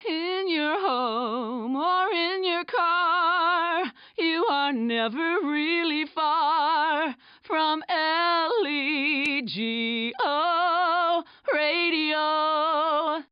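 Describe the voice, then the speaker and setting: A high female jingle voice stretches out a radio station ident very slowly. Faint music sits behind it. The recording is dry and slightly dull game audio. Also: no echo. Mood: breezy jingle.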